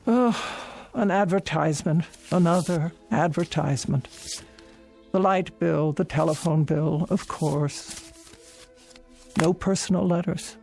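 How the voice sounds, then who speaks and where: An actress playing a lonely, demanding elderly invalid in a radio drama, prim and querulous in manner. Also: female voice, on the low side, a little slow, gravelly, dark tone, husky, faint music behind, full character voice. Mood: lonely, bitter. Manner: querulous, prim, self-pitying.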